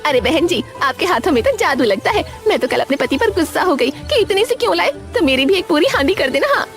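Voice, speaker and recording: Gushing female village customer played by a woman narrator, chatty, very lively high voice, animated tale. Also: fairly quick, soft background music. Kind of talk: animated story character acting.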